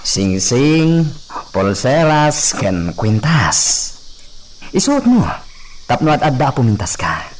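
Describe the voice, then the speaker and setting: Managpanggep a kontrabida iti drama. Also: male voice, medium pitch, a little hiss or hum. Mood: coaxing, agitated. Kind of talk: Ilocano radio drama acting.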